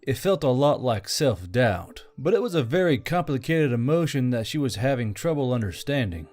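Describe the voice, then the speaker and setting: A man's voice: medium pitch, sing-song, normal speed, a little mellow, faint music behind. A man reading scripted fantasy fiction as a story narrator.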